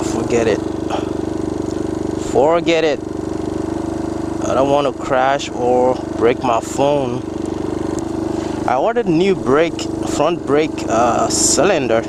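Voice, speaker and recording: A man riding a small motorbike on country roads, chatting casually and laughing at himself. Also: medium pitch. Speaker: motovlogger. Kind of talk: casual ride commentary. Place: outdoors on rural roads, riding a pocket bike. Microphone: action camera mounted on the bike. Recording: loud engine and wind noise outdoors.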